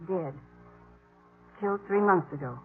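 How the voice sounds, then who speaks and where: A woman's voice: fairly low pitch, unhurried, soft background music, close to an everyday voice. Actress doing a guarded young frontier woman, proud and pointed, old western radio play, thin tinny sound. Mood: sorrowful. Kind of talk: 1940s-50s western radio acting.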